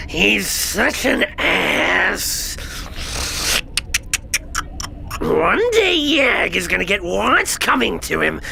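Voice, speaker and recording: Whiny bug alien pilot guy, man doing it, bright gravelly voice, slow and sing-songy, faint hiss. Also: high-pitched, exaggerated voice. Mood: grumbling. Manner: whiny, complaining. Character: whiny put-upon male insectoid pilot.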